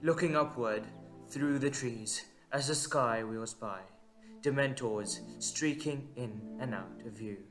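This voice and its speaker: Man narrating dramatically, slow and suspenseful. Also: mid-range voice, over music. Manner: suspenseful, short measured phrases. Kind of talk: dramatic scripted story narration.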